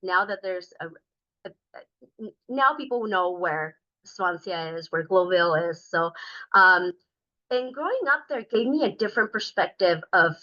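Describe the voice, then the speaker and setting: Bright-voiced woman, earnest, lo-fi meeting audio. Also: on the low side, lively intonation, normal speed. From Denver, Colorado. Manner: earnest, talkative, many fillers. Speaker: state transportation commissioner. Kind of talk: community meeting presentation.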